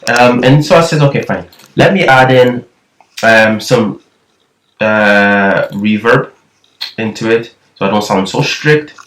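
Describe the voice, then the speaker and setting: Thin-voiced man, lowish pitch, loose rambling tutor, recorded from a distance. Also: slow, fairly bright tone, slight background noise. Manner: rambling, with frequent restarts. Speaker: nasheed production tutor. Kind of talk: casual screen-recorded tutorial. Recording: echoey room, far from the mic.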